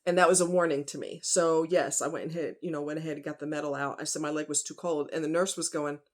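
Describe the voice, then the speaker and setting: Low-pitched woman chatting in a folksy way. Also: fairly even intonation, fast talker. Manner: folksy, conversational, emphatic. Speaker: vlogger. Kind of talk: casual chat to viewers.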